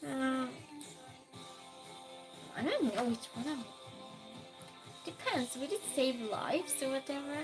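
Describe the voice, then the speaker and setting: A woman's voice: sits high, animated, slow, pauses often, music in the background, low-quality recording. A woman with a Norwegian accent, a native Norwegian speaker, chatting casually on a stream and reading out viewer comments. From Norway. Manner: chatty, reads out chat messages and asks would-you-rather questions.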